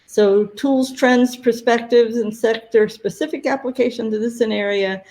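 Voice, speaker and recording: A woman presenting conference deadlines and tracks in a webinar, talking haltingly with many fillers, her voice going hoarse. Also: on the high side, fairly even intonation, darkish tone. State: losing her voice.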